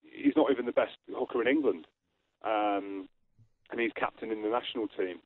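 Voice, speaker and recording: A man's voice: on the low side, normal speed, dry recording. A man with a Scottish accent, a rugby pundit guest giving blunt, forthright opinions in a radio interview. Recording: tinny phone line.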